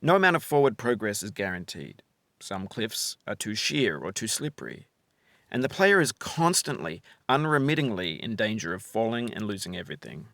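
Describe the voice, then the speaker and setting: A man giving reflective scripted narration as a game developer, in a measured, articulate, philosophical manner. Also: fairly low pitch, very animated, a bit bright, no echo.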